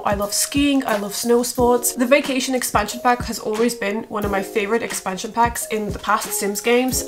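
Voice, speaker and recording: High-pitched, nasal, excitable woman with a cold. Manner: excitable, exclaiming. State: has a bad cold. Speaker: gaming vlogger reacting to a trailer. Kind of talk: excited reaction and casual commentary.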